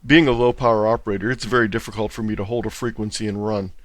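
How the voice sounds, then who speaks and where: A man's voice: fairly low pitch, steady tone, quick pace, no room sound. A man talking conversationally as an interview guest, explaining his hobby. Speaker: interview guest, club presenter and radio contester.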